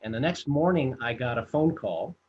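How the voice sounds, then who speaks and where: Director in his 60s being interviewed, slightly raspy, halting storyteller, dull video-call audio. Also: medium pitch, animated. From United States. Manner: halting, many fillers and restarts. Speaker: film director and producer, guest.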